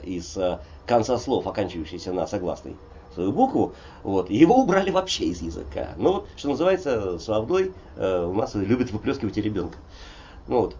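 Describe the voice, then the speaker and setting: A man in his sixties from Russia, a poet talking in a relaxed interview, rambling and digressive with many hesitations. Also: fairly low pitch, creaky, lo-fi sound, a little hiss or hum. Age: 60s. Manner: digressive, frequent hesitations and "vot" fillers. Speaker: poet and polyglot guest. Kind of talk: conversational interview, discursive storytelling.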